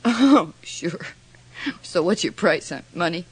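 An actress playing a distraught, blinded young woman in a radio horror drama, halting and bitterly disbelieving. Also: on the low side, lively intonation, a little hiss or hum, low-quality recording. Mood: bitter sarcasm. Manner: halting, breathless stammer turning to bitter sarcasm.

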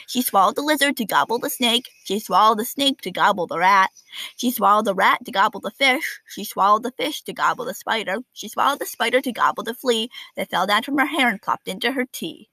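A woman's voice: a little high, sharp tone, dry recording, rough recording. A woman reading a children's picture book aloud expressively, with a sing-song rhyming delivery.

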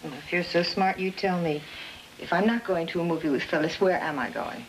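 A woman's voice: lowish voice, fairly quick, natural voice. Woman acting a needling, sarcastic American wife. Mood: cool, evasive. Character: cool, evasive, needling middle-aged wife. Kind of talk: 1950s film drama acting. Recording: noisy old film soundtrack with clicks.

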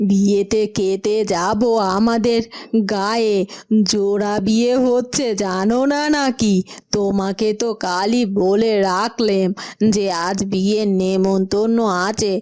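Brief: woman as a pushy village wife, brisk, warm mellow tone. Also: medium pitch, close to an everyday voice. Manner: brisk and dismissive. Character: bossy middle-aged village wife. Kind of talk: cartoon dubbing.

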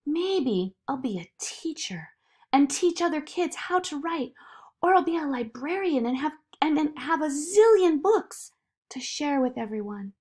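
A woman voicing an excitable, defiant little girl with big dreams in an animated picture-book read-aloud, eager and emphatic. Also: high voice, measured pace, pitched above the performer's own voice. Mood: proud, determined.

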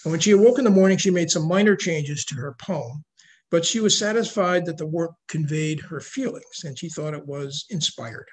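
An older man giving a prepared slide lecture on history over a video call, reading in a measured way. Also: fairly high pitch, breathy edge. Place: indoor room at home, video call. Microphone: video call microphone.

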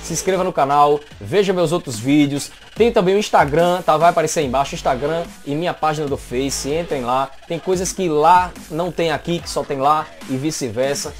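A man's voice: fairly high pitch, a little fast, hardly any pauses, soft background music. A young adult Brazilian man talking casually as the host of a fan dubbing vlog, recorded indoors at home. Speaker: YouTube host and fan dubber. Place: small indoor home room.

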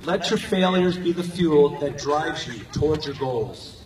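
Older man, somewhat high voice, earnest commencement speaker, short measured phrases, distant echoey stadium PA sound. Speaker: high school coach and teacher giving a graduation speech. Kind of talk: prepared commencement speech. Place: outdoor football stadium, recorded from the stands. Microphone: podium microphone over PA, captured by distant handheld camera. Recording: echoey stadium PA heard from far off.

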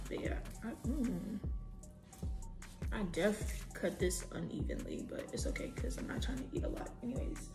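A woman's voice: on the high side, roomy sound. Chatty young woman vlogging from her kitchen. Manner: chatty, slangy, animated, talks while cooking. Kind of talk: casual vlog chat to camera. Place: home kitchen, indoors. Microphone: camera on a tripod at a distance.

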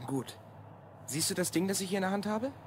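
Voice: medium pitch, animated, a little fast, noisy background, lightly acted. A performer playing a secretive boy of twelve from the future in an audio drama, explaining things confidingly. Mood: conspiratorial. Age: child character, twelve. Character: Rhonn, secretive stranded boy time traveller from the future. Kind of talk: German audio drama acting.

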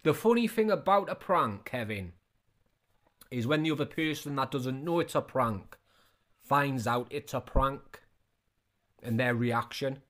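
A man's voice: medium pitch, animated, measured pace, pauses often, fairly bright tone. A man in his thirties giving casual, scornful reaction commentary, often repeating his questions. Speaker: reaction video host.

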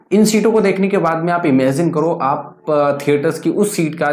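A man in his twenties giving opinionated cricket commentary to camera, emphatic and fond of rhetorical questions. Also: fairly high pitch. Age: 20s. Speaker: cricket news YouTuber. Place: small indoor room. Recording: echoey room.

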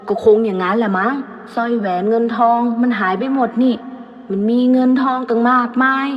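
Woman acting a jealous, rough-talking village man. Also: a little high, a little fast, slight background noise, close to an everyday voice. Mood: feigned casualness. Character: jealous, murderous village man, scheming and obsessive. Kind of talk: dramatised Thai folk storytelling. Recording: muffled, long reverb.

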